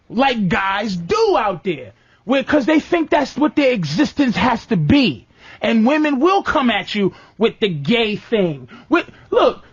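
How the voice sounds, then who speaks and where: A man's voice: very high voice, breathy edge, dry recording, dull audio. A man hosting a radio show, ranting comically and profanely about relationships and mimicking his girlfriend in whiny voices. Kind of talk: comedic relationship rant.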